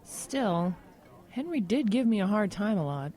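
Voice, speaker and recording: A woman playing a curious, supportive friend and coworker who gently questions, in an audio drama. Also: mid-range voice, sing-song, measured pace. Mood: wry, supportive.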